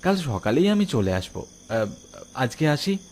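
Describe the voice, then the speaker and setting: A man's voice: fairly high pitch, big pitch swings, a little slow, darkish tone. A man voicing a friendly, easygoing young village boy in a cartoon. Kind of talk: cartoon voice acting.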